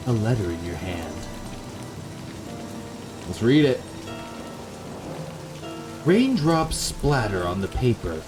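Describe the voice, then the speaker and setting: A man hosting a gaming video, moving between casual chat and dramatic reading of the game's text. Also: low-pitched. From Canada. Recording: background music under the voice.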